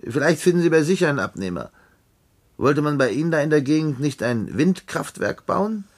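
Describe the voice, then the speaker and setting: An actor playing a world-weary, wry older father in a German radio drama, with a sardonic, expressive manner. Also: a little high, a little fast.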